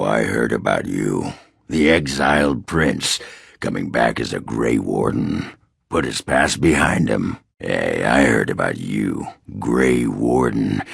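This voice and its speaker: A surly, hard-drinking male dwarf warrior from a fantasy video game. His voice is extremely low and thick with gravel, recorded close and dry. He grumbles bluntly, with coarse, sardonic bite. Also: fairly bright tone, no room sound, heavily acted. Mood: scornful. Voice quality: very deep, gravelly, rough. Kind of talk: video game character dialogue.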